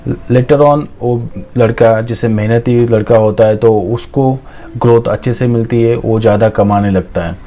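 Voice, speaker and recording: A man's voice: mid-range voice, measured pace, mellow. A man giving an informal life-lesson monologue, hesitant and reflective, mixing in English words as he goes. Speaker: motivational storyteller.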